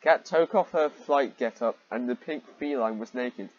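A man's voice: mid-range voice, normal speed, dry recording, muffled recording. A man reading amateur fiction aloud with sarcastic commentary and exasperated, incredulous asides.

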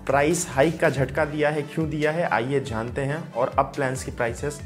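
A man's voice: mid-range voice, steady tone, brisk, faint music behind. Upbeat young man presenting tech news. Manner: upbeat direct-to-camera presenting. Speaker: tech channel host. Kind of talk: scripted tech news explainer. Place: indoor home studio with lit gaming setup. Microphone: lapel mic.

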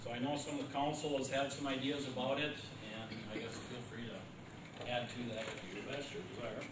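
A middle-aged man explaining a city project at a public meeting, speaking haltingly with many fillers. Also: medium pitch, steady tone, noisy background. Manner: halting, many fillers, gestures while standing. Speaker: city staff presenting to council. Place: large meeting room. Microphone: far room camera. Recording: very echoey room from far off.

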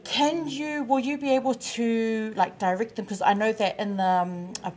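A woman's voice: on the high side, steady tone, normal speed. Chatty woman host. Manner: warm, chatty, many fillers. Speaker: talk show host. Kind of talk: casual studio chat. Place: small indoor studio. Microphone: headset with desk mic.

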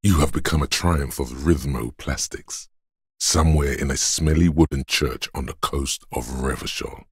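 A man playing the grave, stoic inner voice of a detective's body in a video game, narrating with a very deep rasp and measured, weighty delivery. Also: no room sound, studio quality, exaggerated voice. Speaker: voice actor. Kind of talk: scripted game narration in second person.

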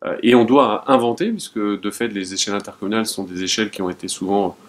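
A man's voice: lowish voice, fast. A man in his thirties, a mayor giving interview testimony indoors. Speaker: mayor and local council president.

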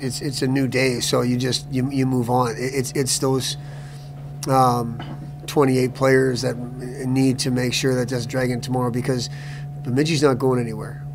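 Halting middle-aged coach at a press conference. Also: male voice, mid-range voice, fairly even intonation, creaky, noisy background. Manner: halting, repeats words, many restarts. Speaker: hockey coach. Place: press room before a sponsor backdrop.

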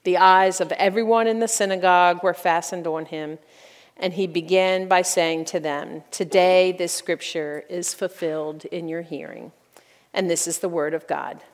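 A young woman reads scripture aloud at a church lectern in a measured, formal way. Her voice sits a little low, and the sanctuary gives it a long echo. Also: fairly even intonation. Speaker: scripture reader. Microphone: stand mic at a lectern. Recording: reverberant church hall.

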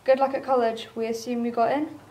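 This is a woman playing a documentary interviewer in a drama. She speaks in a fairly high voice with a calm, reassuring manner. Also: fairly quick, natural voice.

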